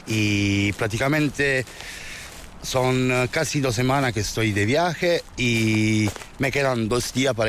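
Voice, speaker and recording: A man from Italy with an Italian accent, a pilgrim chatting casually on an outdoor trail, pausing as he searches for words. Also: medium pitch, fairly bright tone, a little hiss or hum.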